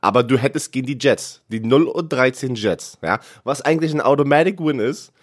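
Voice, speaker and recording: Sick, congested male co-host, mid-pitched, stammering and animated. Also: fairly quick. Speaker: podcast co-host. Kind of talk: casual sports chat.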